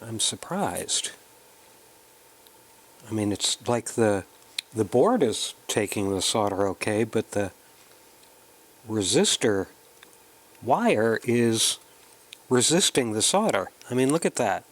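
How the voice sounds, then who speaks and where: A man with a fairly low voice talks through a hands-on hobby project. He speaks very slowly and casually, pausing and thinking aloud as he works. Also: sing-song. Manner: unhurried, halting, thinking aloud while working. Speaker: electronics hobbyist presenting a kit build. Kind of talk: casual hands-on tutorial narration.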